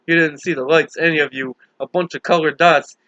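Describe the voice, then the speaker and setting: A frightened, exhausted boy on the run, voiced by a man reading a story. He speaks with agitated earnestness in a fairly high, bright voice. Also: fairly even intonation, brisk, dry recording, highs cut off. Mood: bewildered. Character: frightened, exhausted young runaway boy with psychic powers. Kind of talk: audiobook character voice.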